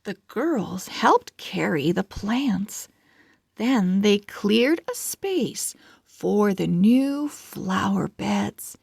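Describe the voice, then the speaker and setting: A woman reading a children's storybook aloud as host, with deliberate, expressive phrasing for young readers. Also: mid-range voice, creaky, husky, no echo, clean studio sound. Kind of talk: slow read-along storybook narration.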